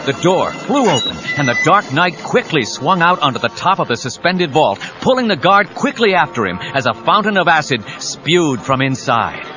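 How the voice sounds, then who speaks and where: A man's voice: fairly high pitch, sing-song, normal speed, hardly any pauses. A man narrating an action audio drama with urgent, suspenseful scripted delivery. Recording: dull cassette audio with dramatic music.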